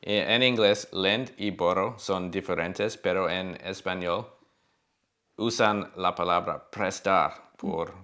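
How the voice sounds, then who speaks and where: A man's voice: low-pitched, slow pace, bright, forceful tone. A man in his thirties with an Australian accent, a native English speaker, carefully correcting common mistakes while sitting on a sofa. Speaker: co-host. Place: living room sofa.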